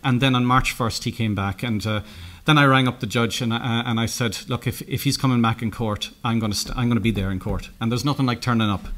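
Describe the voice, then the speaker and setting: A middle-aged Irish man giving a conference keynote, telling anecdotes with lots of halting restarts and self-corrections. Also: mid-range voice, steady tone, a bit bright. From Ireland. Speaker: keynote speaker. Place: conference room, indoors.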